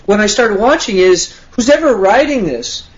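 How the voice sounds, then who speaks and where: A male radio host with a notably high voice fires off blunt, ribbing questions at his guest. The sound is muffled and lo-fi, like a web radio stream. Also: animated, moderate pace. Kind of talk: casual radio interview. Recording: dull lo-fi internet radio audio.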